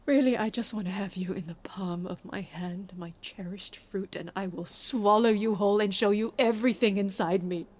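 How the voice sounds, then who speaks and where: A woman's voice: mid-range voice, normal speed, hardly any pauses, a little mellow, lightly acted. A woman playing a melancholy, possessive lover in an intimate audio drama, speaking in an intense, poetic, confessional way. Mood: yearning, intense. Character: melancholy, possessive heartbroken lover, an actor by trade.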